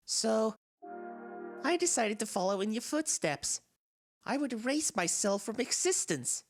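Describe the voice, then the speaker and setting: Soulless talking flower for a game fan dub, played by a woman: theatrical, weary yet menacing, animated delivery over soft music. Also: a little high, measured pace. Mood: wistful, confiding. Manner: confiding, theatrical, swinging between wistful and menacing. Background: game soundtrack music.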